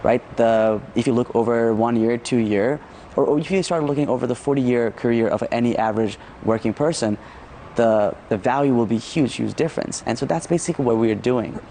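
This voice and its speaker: A man's voice: medium pitch, fairly quick, mellow, slight background noise. A man from Nepal answering interview questions as a startup founder, often repeating words and checking in with "right?".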